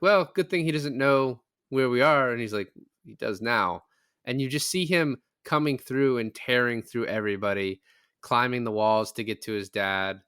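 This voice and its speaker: A man's voice: medium pitch, pushed and bright, smooth. A man talking casually about movies in a rambling, conversational way, with offhand swearing. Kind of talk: casual movie discussion podcast chat.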